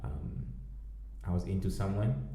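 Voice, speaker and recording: A man's voice: sits low, expressive, unhurried, warm tone. A man from Namibia hosting a podcast in laid-back casual conversation, laughing often and swearing now and then. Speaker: podcast host. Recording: echoey room with faint ambience.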